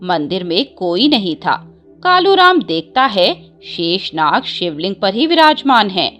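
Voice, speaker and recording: A woman's voice: medium pitch, big pitch swings, measured pace, pushed and bright, soft background music. A woman narrating a scripted devotional story as a storyteller.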